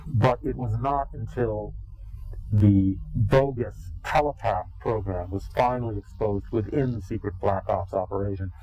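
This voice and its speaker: A man with a lowish, mellow voice speaks slowly and gravely in an interview, weighing each phrase and pausing often. Faint music sits under his voice. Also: rough recording. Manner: slow, grave, deliberate with pauses. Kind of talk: ominous recorded interview.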